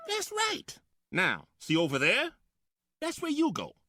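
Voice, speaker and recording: A woman's voice: high-pitched, huge pitch swings, a little slow, sharp tone, dry recording, clean studio sound, voice pushed up, full character voice. A woman voicing a stern, curt male segregation-era worker with a folksy, authoritative way of talking, in radio-play storytelling style. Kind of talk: old-time radio storytelling.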